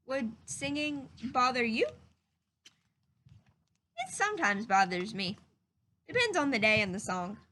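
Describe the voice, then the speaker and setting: Slow, high-voiced woman reading a storybook. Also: slight background noise. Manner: expressive, explains words simply for children. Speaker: read-aloud host. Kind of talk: storybook read-aloud with explanations.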